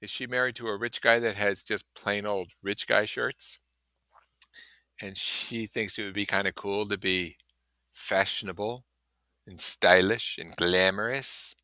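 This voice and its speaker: A man with a fairly low, very bright voice reads a classic story aloud. He is expressive and dramatic, and explains things casually, in a dry, close recording. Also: measured pace, clean tone, dry recording. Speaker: reader and commentator. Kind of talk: expressive audiobook-style reading with casual asides.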